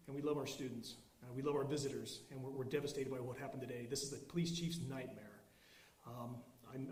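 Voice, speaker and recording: A man with an American accent, a police chief giving an unscripted statement to the press in a sombre, halting way. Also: mid-range voice, a little mellow. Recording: echoey press conference room.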